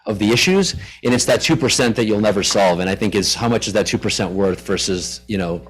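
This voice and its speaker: A man's voice: on the low side, lively intonation, a little fast, low-quality recording. A man speaking as a resident at a village board public hearing, conversational and hedging, at a podium microphone in a meeting room. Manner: conversational, self-deprecating, hedging with fillers.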